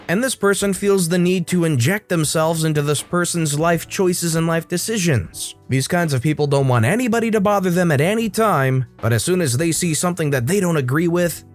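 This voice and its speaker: A man reads internet stories aloud in a lively, animated way, dropping in wry asides. His voice sits a bit high for a man. Soft music plays faintly behind him. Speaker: story narrator.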